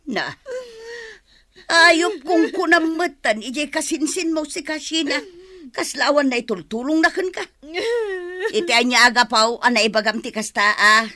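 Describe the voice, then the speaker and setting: An actress playing an elderly grandmother in a radio drama, concerned and gently scolding. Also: high voice, moderate pace. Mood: chiding.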